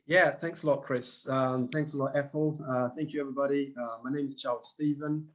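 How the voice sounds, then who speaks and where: A middle-aged man from Tanzania, a panel guest telling his own story earnestly, halting and repeating words, over a video call. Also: mid-range voice, fairly even intonation. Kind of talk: personal testimony on a panel.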